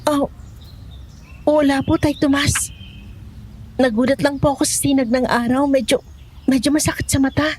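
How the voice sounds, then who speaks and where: Young rural woman, played by an actress, high voice, deferential, uneasy and hesitant, horror drama. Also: steady tone, normal speed, long pauses, darkish tone, lightly acted. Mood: polite, evasive. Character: polite, anxious pregnant young village woman hiding fear. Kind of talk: audio horror drama acting.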